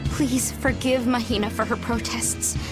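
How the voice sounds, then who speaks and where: A woman acts an anxious young village woman, an innkeeper's daughter in a fantasy game. The voice is fairly high, hesitant and pleading. Music is clearly audible beneath it. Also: steady tone, measured pace, close to an everyday voice. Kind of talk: video game voice acting. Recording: music under the voice.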